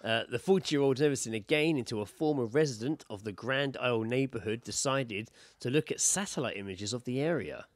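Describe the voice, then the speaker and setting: A man's voice: mid-range voice, a little fast, few pauses, dry recording. A man co-hosting a podcast, chatting casually and reading out odd news stories in an informal, sweary way. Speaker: podcast co-host.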